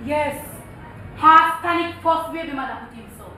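Young housemaid, Jamaican accent, outspoken, superstitious, very high-pitched, stage comedy, noisy room sound. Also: female voice, moderate pace, bright, forceful tone. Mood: emphatic. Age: young adult. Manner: blunt, inquisitive, emphatic. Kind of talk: comedic stage play acting in patois. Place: theatre stage before an audience. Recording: echoey theatre stage, noisy ambience.

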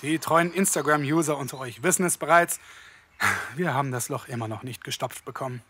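A man playing an excitable, grumbling young podcaster in a German audio drama, bantering casually. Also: mid-range voice, a little fast, background noise, natural voice. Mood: wry, amazed.

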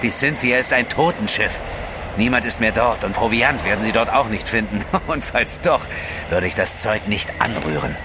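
A man's voice: lowish voice, animated, moderate pace, music in the background, lightly acted. An actor playing a gloomy, dismissive man on a polar trek, blunt and pessimistic, in an audio drama. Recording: clear outdoor background noise.

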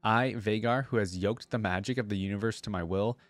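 A man's voice: fairly low pitch, fairly quick, no room sound, lightly acted. A man voicing a small, pompous evil wizard who declaims grandly, as in a storybook reading.

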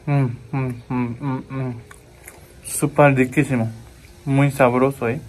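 A man's voice: mid-range voice, little pitch movement, slow pace, a little mellow. A young man from Japan, speaking with a Japanese accent, chats casually in a vlog, repeating words and calling his viewers friends. He films himself on a phone held at arm's length. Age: young adult. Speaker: vlogger. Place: city street outdoors, then indoors at a table. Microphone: wireless earbuds, phone at arm's length. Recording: street noise behind.

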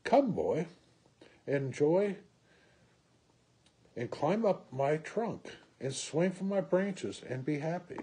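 A man voicing a gentle, loving female tree in a children's storybook, slow, inviting and generous. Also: a little high, very animated, slightly rough, voice pushed up, exaggerated voice.

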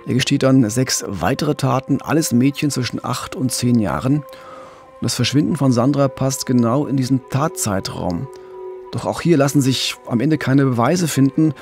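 A middle-aged man co-hosting a true-crime podcast, explaining cases in a measured, factual, journalistic way. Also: medium pitch, darkish tone, faint music behind. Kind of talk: journalistic podcast storytelling in dialogue.

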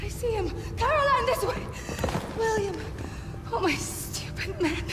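A woman's voice: very high-pitched, lively intonation, unhurried, over loud music. A woman playing an anxious, devoted young lover in a game, emotional and pleading. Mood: anxious, tender. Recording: echoey space, clear noise behind.

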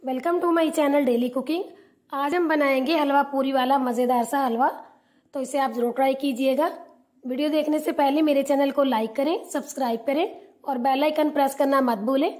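Woman cooking-channel host, high pitch, warm mellow tone, calm step-by-step tutorial voice-over. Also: moderate pace.

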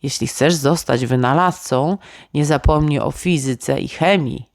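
A woman voicing a caring, practical mother in a children's bedtime story, speaking affectionately and gently instructing. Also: deep voice, a little slow, no echo, clean studio sound. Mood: fond, instructing.

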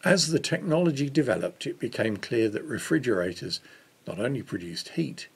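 Older guy in his 60s reading from a church book, measured, raspy n creaky. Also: mid-range voice, very animated. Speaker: reader of a church Lent devotional. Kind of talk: reading a devotional book aloud.

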